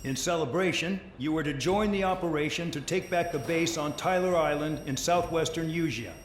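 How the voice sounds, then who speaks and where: A man acts as a scornful air force commander from a video game, barking clipped orders at a penal unit. His voice sits slightly high and rings in a roomy, echoing space over faint noise. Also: moderate pace, lightly acted. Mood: formal, commanding. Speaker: military base commander. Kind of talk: video game cutscene acting, military briefing. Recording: roomy echo, background noise.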